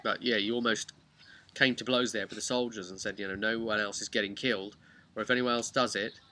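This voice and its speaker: A man casually retelling a tabletop role-play story in a halting, chatty way with wry asides. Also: on the low side, fairly even intonation, bright, forceful tone. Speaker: host of a tabletop role-play campaign recap. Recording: clear background noise with birdsong.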